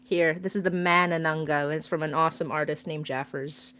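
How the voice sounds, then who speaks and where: A woman's voice: sits low, steady tone, brisk, fairly bright tone, slight background noise. A woman chatting casually on a livestream as a guest artist, laughing often and trailing off with ums.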